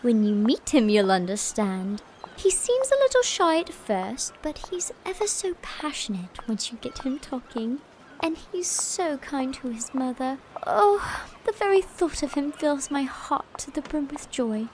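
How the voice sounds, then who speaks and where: Woman voicing a young lady, high, blunt, breathless, audio drama. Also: sing-song, unhurried, darkish tone, a little hiss or hum, low-quality recording. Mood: playful.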